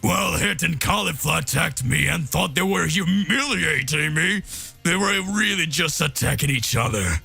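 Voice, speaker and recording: Man putting on a gloating, forceful anime villain. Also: a little high, lively intonation, normal speed, creaky, breathy edge, soft background music, full character voice. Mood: gloating, mocking. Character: gloating, sneering male villain, destroyer of worlds. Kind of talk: anime fan-fiction dramatic reading.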